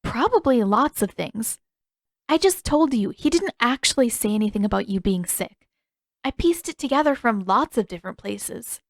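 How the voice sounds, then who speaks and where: Woman voicing a candid, teasing teenage girl, lifted pitch. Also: animated, moderate pace, no echo. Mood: frank, probing.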